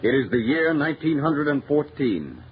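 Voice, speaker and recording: A man's voice: fairly high pitch, sing-song, normal speed. Muffled old-time radio mystery announcer, male. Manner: formal, portentous. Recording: dull, band-limited old radio recording. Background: music.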